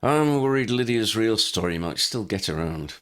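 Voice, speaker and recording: A man voicing a serious, cautious adult wizard hero in a storybook, measured and worried. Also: on the low side, lively intonation, a bit bright, voice pushed up. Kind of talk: storybook character acting.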